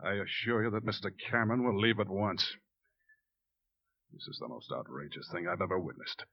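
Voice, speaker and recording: A man acting a stern, formal ship's officer in a 1950s radio drama, with a brisk, urgent delivery. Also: fairly low pitch, animated, vocal fry, no echo. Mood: outraged. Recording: dull, dry 1950s radio recording.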